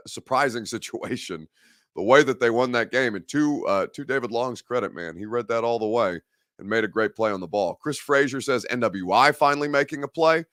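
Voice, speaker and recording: A man hosting a live-stream sports talk show, hoarse and gravelly from a head cold, rambling and conversational as he reads viewer comments. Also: medium pitch, a bit bright, dry recording, clean studio sound. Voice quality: gravelly, growly.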